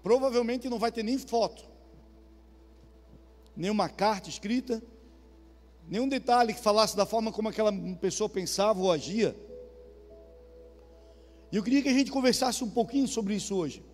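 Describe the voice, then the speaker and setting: Southern Brazilian male pastor, high voice, chatty preaching in a reverberant hall. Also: normal speed, long pauses. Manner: conversational, asks the congregation questions. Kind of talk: sermon. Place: church hall. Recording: reverberant church hall with soft music.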